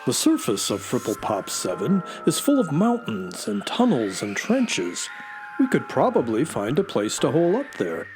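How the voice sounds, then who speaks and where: A woman voicing a sensible, loyal flying space horse in a children's radio adventure, measured and gently dramatic. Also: a bass voice, huge pitch swings, over music, full character voice. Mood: concerned.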